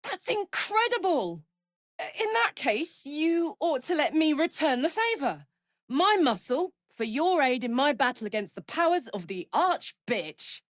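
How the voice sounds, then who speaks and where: A woman playing a brash, big-hearted tiefling barbarian in a video game, blunt, earthy and expressive. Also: high voice, unhurried, no echo, studio quality. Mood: eager, grateful. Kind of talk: video game character voice lines.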